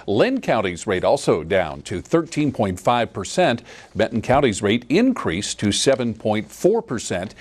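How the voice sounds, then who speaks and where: An American man reading scripted television news as an anchor. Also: medium pitch.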